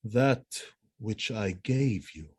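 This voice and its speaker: An actor playing a jealous, vengeful military commander in a Shakespearean audio drama, theatrical and brooding. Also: male voice, lowish voice, animated, takes it slowly, husky, full character voice. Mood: brooding, suspicious. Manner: theatrical, brooding, erupting into shouts.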